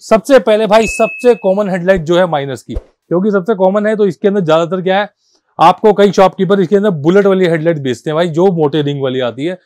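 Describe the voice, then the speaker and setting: A high-pitched male voice moves quickly from part to part, selling car accessories in a shop. He talks like a pushy salesman, keeps calling the viewer brother, and quotes sale prices. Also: a little mellow, dry recording. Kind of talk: sales pitch walkthrough video.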